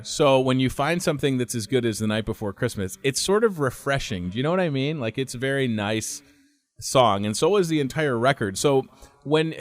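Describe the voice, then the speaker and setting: A man's voice: medium pitch, no echo. A man hosting a radio show, eager and a little nervous, talking like a fan to his guest. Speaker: radio host. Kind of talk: radio interview.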